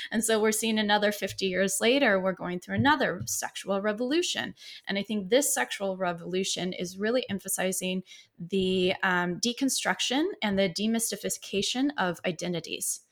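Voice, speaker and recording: A woman psychotherapist, a podcast guest, answering at length in an easygoing way, with frequent fillers and light laughs. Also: medium pitch. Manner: expansive, laughs easily, many fillers.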